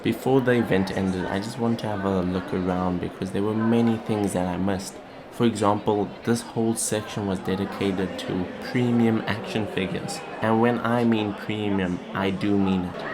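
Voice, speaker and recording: A man's voice: fairly low pitch, fairly even intonation, normal speed, noisy background. A man hosting a vlog, narrating in voice-over in an informal, conversational way. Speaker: vlog host.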